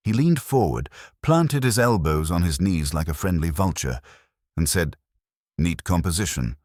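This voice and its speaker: A man reading a scripted first-person detective story in a wry, measured way. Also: sits low, expressive, a little mellow, dry recording, studio quality. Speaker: audiobook narrator.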